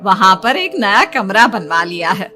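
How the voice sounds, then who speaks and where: A woman voicing a caring, practical mother of a grown daughter in an animated story. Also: medium pitch, unhurried, soft background music. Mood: caring, brisk.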